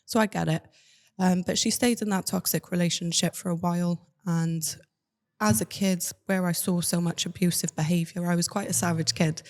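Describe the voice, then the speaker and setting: A woman's voice: lowish voice, fairly even intonation, moderate pace. A young woman from Cardiff with a Welsh accent, telling her life story to an interviewer in a candid, matter-of-fact way. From Cardiff, Wales. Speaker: guest.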